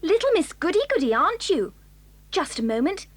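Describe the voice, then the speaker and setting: A woman's voice: very high-pitched, big pitch swings, moderate pace, no echo. An actress playing a mocking, teasing schoolgirl in an audio drama. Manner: taunting.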